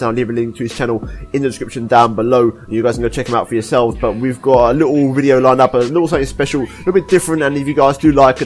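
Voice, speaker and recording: Hoarse young Englishman vlogging fast. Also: medium pitch. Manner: rambling, apologetic, with many restarts. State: hoarse, deep voice after a cold. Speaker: gaming YouTuber. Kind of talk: casual vlog update to viewers.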